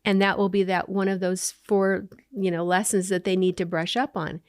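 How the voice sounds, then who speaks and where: A woman's voice: mid-range voice, level tone, normal speed, clear, resonant tone, no echo, studio quality. A middle-aged woman, a company founder, answering interview questions in an upbeat, explanatory way, often listing examples. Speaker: company founder and CEO, guest.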